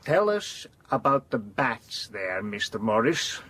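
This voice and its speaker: An actor playing a grave elderly foreign professor in a gothic audio drama, deliberate and halting, repeating words for weight. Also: male voice, fairly low pitch, big pitch swings, bright, forceful tone, exaggerated voice. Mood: quiet, insistent. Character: grave elderly foreign professor, vampire hunter, patient but relentless. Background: dramatic music.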